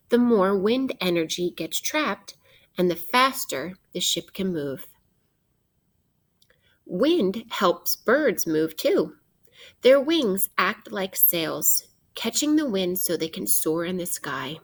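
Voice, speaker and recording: Woman reading aloud slowly and expressively to children. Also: mid-range voice. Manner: expressive, instructive, pausing to put questions to young listeners. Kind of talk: interactive read-aloud of a children's nonfiction book.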